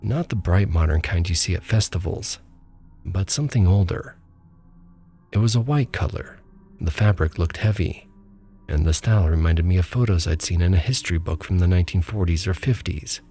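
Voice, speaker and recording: A man with a very deep, low voice tells a first-person ghost story in a calm, measured way. His delivery is steady, like a scripted narration. Faint music plays quietly underneath. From small town abroad. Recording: faint music bed behind.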